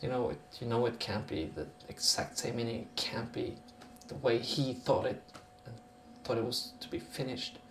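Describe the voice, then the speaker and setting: A man's voice: medium pitch, lively intonation, moderate pace, reverberant, a little hiss or hum. A man with a Norwegian accent talking casually in an interview, musing in a rambling, sweary way. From Norway.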